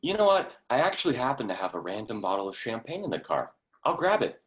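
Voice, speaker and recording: An actor in a staged play reading, playing a blunt but awkwardly tactful investor friend, with a wry, conversational delivery. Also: male voice, mid-range voice, sing-song, quick pace, close to an everyday voice. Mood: flustered.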